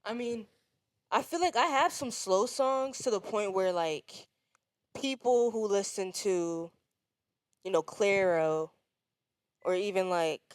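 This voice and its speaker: Young woman, podcast guest, casual and halting, mid pitch, dry close sound. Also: no room sound. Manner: halting, restarts sentences, casual slang. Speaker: guest musician.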